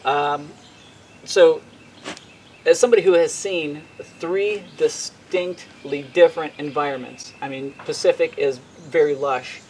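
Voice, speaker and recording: A man with a slightly higher voice hosts an outdoor interview. He halts and repeats himself as he works toward his questions. Faint birds and nature sit in the background. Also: slight background noise. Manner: halting, many fillers and repeated words. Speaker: interviewer, host.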